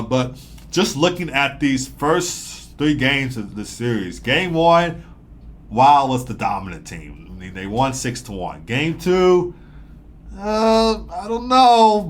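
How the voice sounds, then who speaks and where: A man with a stuffy, sniffly nose runs through a hockey breakdown live, at a middling pitch for a man. He rambles, circles back to the same points and leans on fillers and restarts as he goes. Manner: rambling, repeats himself, frequent fillers and restarts. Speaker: hockey podcast host. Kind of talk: casual live sports analysis.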